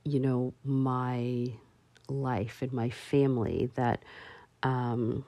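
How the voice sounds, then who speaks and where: A woman hosting a solo talk show, speaking slowly and haltingly with many fillers. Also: very deep voice, level tone, warm tone, no echo. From parents from Barbados. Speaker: podcast host.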